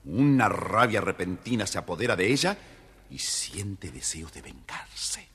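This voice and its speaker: A man narrating a scripted children's story in an expressive, theatrical way, with a husky voice. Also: low-pitched, unhurried, a little hiss or hum. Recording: hall-like reverb, faint music behind.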